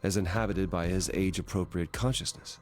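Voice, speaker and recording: An actor playing a puzzled, soft-spoken man in a video game, asking measured questions. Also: deep voice, fairly even intonation, natural voice. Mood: puzzled, probing. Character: puzzled, soft-spoken man just woken, doubting who he is. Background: game music.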